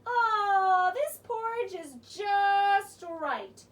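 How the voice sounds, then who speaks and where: A woman voicing a fussy, expressive little girl who exclaims in an exaggerated way in a children's puppet show. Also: very high-pitched, extremely slow, voice pushed up, exaggerated voice. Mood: delighted. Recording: distant mic with faint noise.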